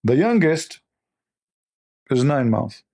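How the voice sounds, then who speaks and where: A man with a voice worn hoarse, giving scornful, lecturing commentary on religious texts in non-native English. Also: medium pitch, sing-song, slow pace, dark tone. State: losing his voice. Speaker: religious debate host. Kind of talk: polemical commentary reading texts.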